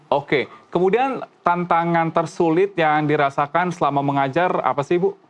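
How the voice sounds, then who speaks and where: A man's voice: fairly high pitch, moderate pace. Polite male TV interviewer. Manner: polite, formal. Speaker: TV news interviewer. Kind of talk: broadcast interview questions.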